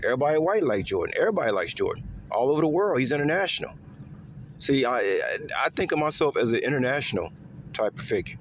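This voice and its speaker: A man talking in a casual, rambling monologue to his viewers, repeating himself with lots of fillers and letting his voice swing higher and lower. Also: very animated, fast talker, a little hiss or hum. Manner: pitch swings up and down. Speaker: vlogger.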